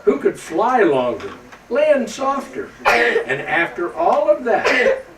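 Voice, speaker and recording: A man playing Santa Claus, a jolly old man reading a storybook to children in a folksy, slightly halting way. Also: very high voice, wildly swooping pitch, fairly bright tone, voice pushed up, full character voice. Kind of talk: storybook read-aloud to children. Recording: roomy, distant microphone with faint background noise.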